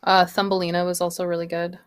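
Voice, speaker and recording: A woman's voice: fairly low pitch, completely flat delivery, quick pace, smooth. A woman around her thirties chatting casually among friends. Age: 30s. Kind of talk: casual chat.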